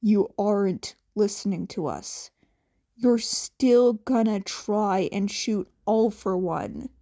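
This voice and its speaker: Teenage boy, blunt and stern, deadpan grumble, unhurried audiobook dialogue, acted by a woman. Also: medium pitch, voice pushed down. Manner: deadpan, grumbling, plain-spoken.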